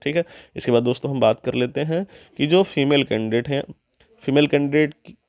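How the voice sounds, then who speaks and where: A man's voice: medium pitch, fairly quick, darkish tone, no room sound. A man presenting an informational explainer to viewers, restating key points as he goes. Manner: repeats and restates key points, often in Hindi and English. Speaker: presenter of an exam guidance channel.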